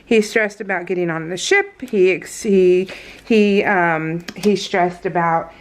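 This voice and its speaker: A woman's voice: lowish voice, takes it slowly. Chatty, rambling American woman telling stories. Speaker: vlogger hosting a scrapbooking process video. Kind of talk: casual unscripted chat, storytelling.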